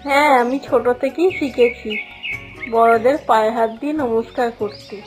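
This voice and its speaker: A bossy young elder daughter-in-law from a family cartoon, acted by a woman. Her voice is very high and hot-tempered, over faint music. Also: normal speed, close to an everyday voice. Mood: proud. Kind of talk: Bengali moral-story cartoon voice acting.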